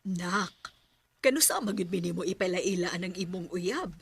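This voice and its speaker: An actress playing a middle-aged mother gently prodding her grown son in a radio drama, with a Filipino Cebuano accent. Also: lowish voice, lively intonation, moderate pace, creaky, slightly rough, heavily acted. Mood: nagging.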